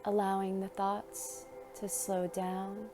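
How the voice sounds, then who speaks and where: A woman narrating a guided meditation in a gentle, soothing, unhurried manner, leaving long pauses between phrases. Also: medium pitch, completely flat delivery, over music.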